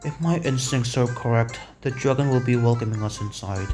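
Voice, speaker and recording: An actor voicing a jolly old cookie sage who talks in a calm, measured way with unhurried chuckles, in an animated fantasy fandub. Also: mid-range voice, close to an everyday voice. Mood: calm, amused. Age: older. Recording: voice over background music.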